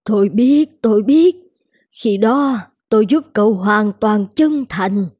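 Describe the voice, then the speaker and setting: A woman voicing a kindly, humble elderly shop owner in a cartoon, gently protesting. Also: mid-range voice, animated, takes it slowly, breathy edge, dry recording, clean studio sound. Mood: heartfelt. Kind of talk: cartoon dubbing.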